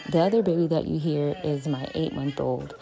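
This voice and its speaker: A woman in her thirties, a parent vlogging home therapy with her child, speaking in a calm, monotone, matter-of-fact way from a phone camera in a small playroom. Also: low-pitched, moderate pace, gravelly, a crowd in the background. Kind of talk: home therapy vlog, talking while working with her son. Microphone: phone camera on a stand a few feet away.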